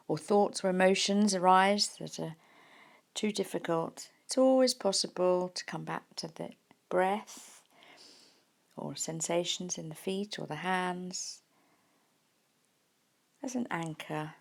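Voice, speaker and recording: Woman guiding meditation, slightly creaky voice. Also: lowish voice. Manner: gentle, unhurried, with soft pauses. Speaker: meditation teacher. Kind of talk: guided mindfulness meditation.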